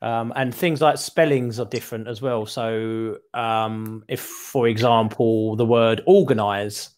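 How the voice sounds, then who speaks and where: A middle-aged British man tutors English over a headset on a video call. He talks in a relaxed, chatty way from a small room, often restarting his sentences with a repeated word. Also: mid-range voice, a little slow. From United Kingdom. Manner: chatty, repeats words when starting a thought. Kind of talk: casual conversation lesson.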